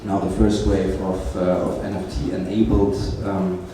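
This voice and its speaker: A man speaking as a venture investor on a conference panel, measured, with many "um" pauses. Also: deep voice, flat delivery, warm tone. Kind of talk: casual panel talk. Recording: echoey hall, distant mic, clear hum.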